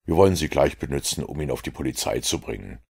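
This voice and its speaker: A man voicing a calm, gravelly low detective. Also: fast talker, full character voice. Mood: calm, assured. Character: cool, precise adult male detective.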